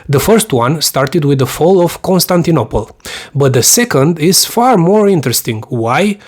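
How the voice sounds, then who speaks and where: A man from Romania with a Romanian accent, speaking English as a second language as he narrates a scripted review in an earnest, explanatory way. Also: mid-range voice. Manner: earnest, explanatory, slightly formal phrasing. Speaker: YouTube host, mythology teacher.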